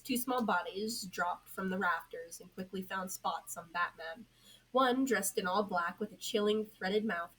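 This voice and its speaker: A woman's voice: fairly low pitch, fairly bright tone, husky, rough recording. A young woman reading scripted story narration as an amateur audio fiction narrator. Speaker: podfic narrator.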